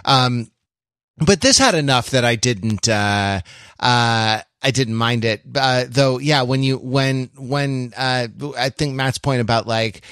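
A man of medium pitch chats casually about films as a podcast panelist. He speaks haltingly, doubling back on phrases while he thinks. The sound is close and dry. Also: fairly bright tone, clear, resonant tone, no echo. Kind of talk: casual film discussion.

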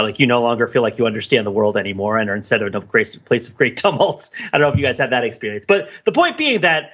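Bright, husky-voiced American man. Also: medium pitch, dull audio. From New Jersey, United States. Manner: discursive, analytical. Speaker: podcast co-host. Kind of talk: casual discussion with personal anecdotes.

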